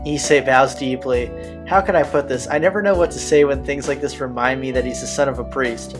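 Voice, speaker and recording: Male let's player, mid pitch, narrating visual novel text aloud, clear music underneath. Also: fairly quick. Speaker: video game let's player.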